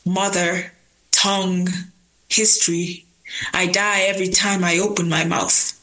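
A woman's voice: lowish voice, level tone, a little slow, bright, forceful tone, rough recording. A young woman who is a slam poet, reciting spoken word with rhythmic emphasis and then talking casually in an interview. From Nigerian family.

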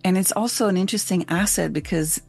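A woman's voice: on the low side, fast, creaky, darkish tone, husky, faint music behind. A woman who grew up in Switzerland and lives in Canada, hosting a thoughtful, casual conversation.

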